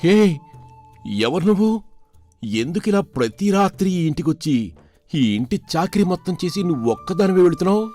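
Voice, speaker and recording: A man's voice: sits high, big pitch swings, normal speed, pauses often, mellow, faint music behind. A man playing a curious, kind-hearted household servant in a children's moral story, earnest and inquisitive. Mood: puzzled, questioning.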